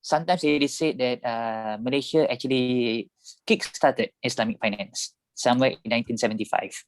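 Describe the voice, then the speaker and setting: A man's voice: medium pitch, moderate pace. A man in casual conversation on a video call, chatty and laughing at his own remarks as he presents.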